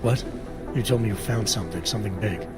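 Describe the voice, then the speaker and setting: An actor playing a gruff, guarded augmented agent in a video game, terse and deadpan with a gravelly voice. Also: male voice, fairly low pitch, quick pace, music in the background, natural voice. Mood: skeptical, dry. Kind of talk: video game cutscene acting.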